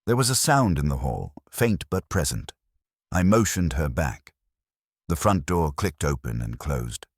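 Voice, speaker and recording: A man's voice: rumbling low, very animated, unhurried, breathy edge, no echo, studio quality. A man reading a first-person detective story as an audiobook narrator.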